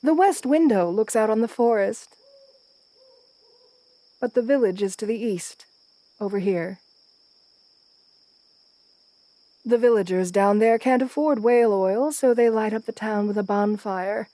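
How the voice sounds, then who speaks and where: A woman's voice: on the high side, normal speed, dark tone, dry recording, lightly acted. Woman acting a seductive, genteel vampire countess. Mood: flirtatious, admiring. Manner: flirtatious, soothing, genteel. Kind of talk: intimate ASMR roleplay.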